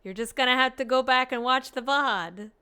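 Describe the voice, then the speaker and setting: A young woman streaming a story game, reacting excitedly, gasping and exclaiming and chatting to a viewer. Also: high voice, moderate pace, clear, resonant tone. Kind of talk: excited live reaction commentary.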